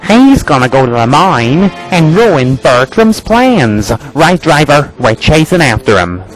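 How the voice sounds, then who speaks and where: Storybook rogue: sly, bossy male engine with a high, lively, taunting voice a man performing. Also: measured pace, faint music behind, rough recording.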